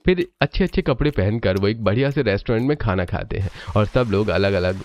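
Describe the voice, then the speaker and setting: A man's voice: fairly low pitch, expressive, fairly quick, darkish tone. A man narrating a scripted family cartoon story.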